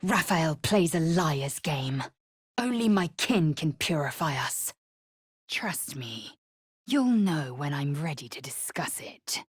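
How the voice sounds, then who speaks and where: Githyanki warrior woman: proud and imperious, low raspy voice, slow clipped commands, fantasy video game. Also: lively intonation, no room sound, clean studio sound, heavily acted. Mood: commanding, stern. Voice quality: husky, raspy. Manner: clipped, commanding, haughty, formal wording. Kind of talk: game voice acting, short scripted lines.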